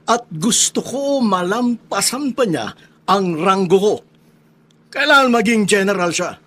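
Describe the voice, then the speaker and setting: Radio drama casting: proud Filipino ex-colonel, high-pitched, slow, emphatic, strict father type. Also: animated, fairly bright tone, exaggerated voice. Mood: proud, authoritative. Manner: emphatic, deliberate, repeats words.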